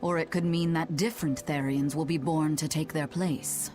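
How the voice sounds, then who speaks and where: An actress playing a stern, pragmatic young woman in a fantasy game, serious and measured. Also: low voice, steady tone, mellow, soft background music, natural voice. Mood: grim, serious. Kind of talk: dramatic game dialogue.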